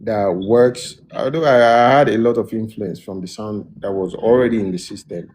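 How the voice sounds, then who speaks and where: A man's voice: fairly low pitch, normal speed, a little hiss or hum. A man, a music producer, telling his story in a casual interview with a lot of restarts. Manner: relaxed, loose storytelling with many restarts.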